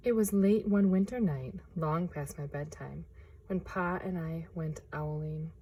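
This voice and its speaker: A woman reading a picture book aloud outdoors in a forest, hushed and expressive, sometimes dropping to a whisper. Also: low voice, a little slow, breathy edge. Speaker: nature preserve storyteller.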